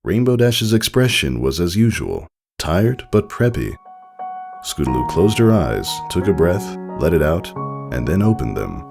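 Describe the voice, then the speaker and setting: Male story narrator, deep and fry-laden, expressive scripted reading. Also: a little slow, faint music behind. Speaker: fan fiction reader.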